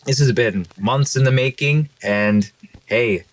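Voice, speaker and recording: A man's voice: mid-range voice, a little slow, low-quality recording. A man hosting a podcast in a casual, upbeat way, hyping up his guests and asking them questions. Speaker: podcast host. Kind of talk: casual interview chat.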